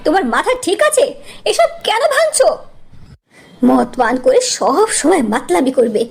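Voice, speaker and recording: A woman's voice: high voice, sing-song, a little slow, gravelly, exaggerated voice. A woman voicing a fiercely protective, hard-pressed mother of five in a cartoon, with an emphatic, dramatic delivery. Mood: furious, disgusted. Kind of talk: cartoon voice acting.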